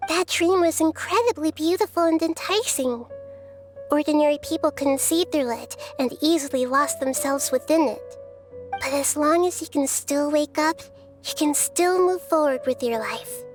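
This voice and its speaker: Game role: a woman as a wise little girl goddess, high-pitched, earnest and gentle, measured delivery, music underneath. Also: close to an everyday voice. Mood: gentle, reflective. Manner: measured, thoughtful, articulate, soft-spoken. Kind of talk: scripted video game dialogue.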